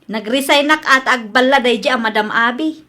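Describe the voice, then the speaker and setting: A woman's voice: a little high, normal speed, bright, forceful tone. A woman acting a flustered, evasive and deferential shop employee in an audio comedy drama. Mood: defensive.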